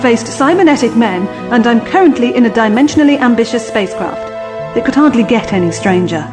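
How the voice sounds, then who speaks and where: A woman playing a spirited, anxious young adventurer in a science fiction audio drama, expressive and flippant. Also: fairly high pitch, fairly quick, over music, close to an everyday voice. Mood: determined.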